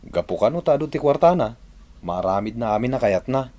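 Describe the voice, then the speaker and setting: A man's voice: medium pitch, lively intonation, brisk, dark tone, lightly acted. A man acting a righteous, disapproving Filipino village neighbour in an Ilocano radio drama.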